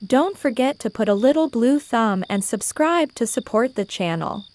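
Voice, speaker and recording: A woman's voice: on the high side, lively intonation, normal speed, darkish tone. A woman reading a scripted bedtime story for children as the storyteller. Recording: faint insects and birdsong behind. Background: crickets, birds, nature ambience.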